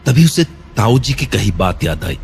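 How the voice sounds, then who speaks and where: Low-voiced man narrating a horror tale. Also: very animated, soft background music. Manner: suspenseful, measured storytelling. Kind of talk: scripted horror story narration.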